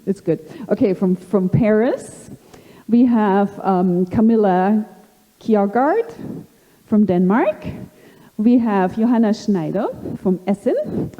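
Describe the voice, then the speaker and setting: A middle-aged woman with a slight German accent hosting a live panel, halting and full of fillers. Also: mid-range voice, animated, muffled recording. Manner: halting, many fillers and restarts. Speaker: panel host. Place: conference hall. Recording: long hall reverb.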